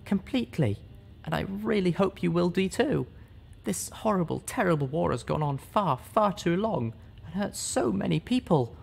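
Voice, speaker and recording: A man voicing a gentle, soft-spoken female pony who sounds weakened and short of breath. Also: fairly high pitch, lively intonation, normal speed, slightly rough, voice pushed up. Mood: pleading.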